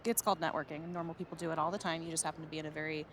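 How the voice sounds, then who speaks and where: An actress playing an assertive, businesslike brand manager, persuasive and matter-of-fact, in a video game cutscene. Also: female voice, low voice, little pitch movement, racing, a little hiss or hum, lightly acted. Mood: firm, persuasive.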